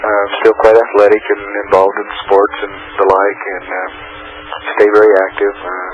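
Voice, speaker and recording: A man in his forties from Arizona, a county sheriff with a hoarse voice from a cold, talking haltingly with many fillers as a guest. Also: on the low side, completely flat delivery, highs cut off, a little hiss or hum. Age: 40s. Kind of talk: phone-in interview.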